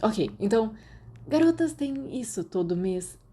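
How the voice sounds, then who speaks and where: A woman voicing a teasing, exasperated teenage boy in animated audiobook dialogue. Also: on the high side, measured pace, breathy edge, voice pushed up, full character voice.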